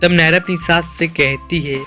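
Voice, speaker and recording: A woman's voice: low-pitched, steady tone, moderate pace, pushed and bright, soft background music. A woman reading scripted story narration as the narrator.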